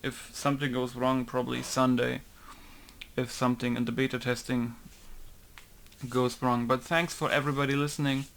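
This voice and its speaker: A man's voice: mid-range voice, flat delivery, normal speed, creaky, fairly bright tone, a little hiss or hum. Man muttering through mod work. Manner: rambling, muttering to himself as he works. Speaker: game modder. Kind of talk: casual talk while working on a tutorial.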